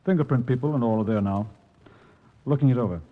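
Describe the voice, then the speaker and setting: An actor playing an eager, deferential police sergeant in an old radio drama. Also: male voice, mid-range voice, fast, natural voice. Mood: brisk, dutiful. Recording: muffled old radio, long hollow reverb.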